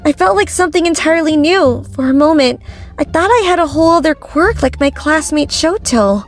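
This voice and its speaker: A woman playing an eager, wide-eyed young girl in an animated comic dub, full of questions. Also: sits high, fairly quick, faint music behind. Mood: puzzled.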